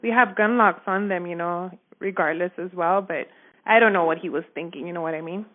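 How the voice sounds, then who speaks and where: A woman's voice: on the low side, a little fast. A woman giving a casual, informal account in a phone interview, often checking that she is understood. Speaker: store manager giving a witness account. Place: telephone call. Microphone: phone line. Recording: narrow muffled phone-line audio.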